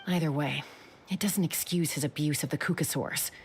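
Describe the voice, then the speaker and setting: A woman's voice: low-pitched, moderate pace, a little hiss or hum. A woman voicing a blunt, determined young woman flier in a video game, speaking decisively.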